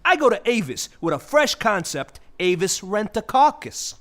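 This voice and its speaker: A man's voice: high-pitched, sing-song, normal speed, a bit bright. A man playing a bitter, sarcastic vulture with thwarted ambitions, ranting theatrically in a comic audio play. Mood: aggrieved ranting.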